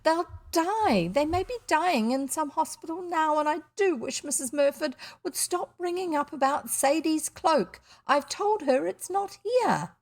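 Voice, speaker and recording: A woman's voice: high voice, lively intonation, unhurried, hardly any pauses, pitched above the performer's own voice. A woman voicing a distraught, exasperated mother in a children's storybook, wailing dramatically. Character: distraught, wailing mother hosting a failed party. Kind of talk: children's storybook character reading.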